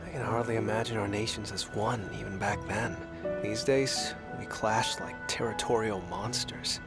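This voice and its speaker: Actor as a polite young ranger over loud music. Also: mid-range voice, steady tone, normal speed, close to an everyday voice. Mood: concerned. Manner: courteous, sincere, slightly formal. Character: polite, earnest, modest young ranger of a kingdom. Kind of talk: video game cutscene acting.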